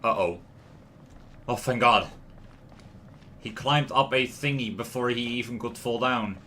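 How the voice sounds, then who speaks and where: A man's voice: medium pitch, measured pace, bright, forceful tone, a little hiss or hum. A man giving casual, rambling gameplay commentary as a livestream host, chatting to viewers as he goes. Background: lo-fi music and game sound.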